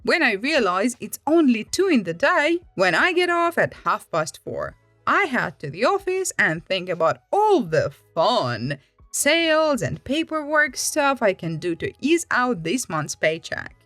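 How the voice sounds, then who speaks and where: Animated high-voiced woman reading stories. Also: a little slow, no echo. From Finland. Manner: dramatic, expressive. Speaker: storytelling channel host. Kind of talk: animated read-aloud storytelling. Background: background music.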